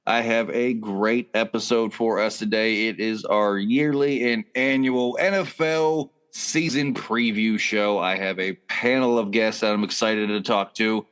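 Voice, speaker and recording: Deep-voiced male podcast host. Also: fairly even intonation, measured pace, hardly any pauses, fairly bright tone, clear, resonant tone. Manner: upbeat, reads sponsor spots then chats. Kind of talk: casual podcast hosting with read ads.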